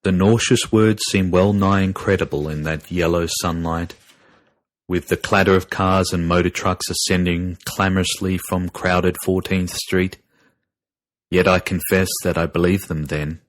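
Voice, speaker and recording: A man narrating a scripted horror story in a grave, deliberate and ominous manner. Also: low voice, steady tone, no room sound. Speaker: narrator and podcast host.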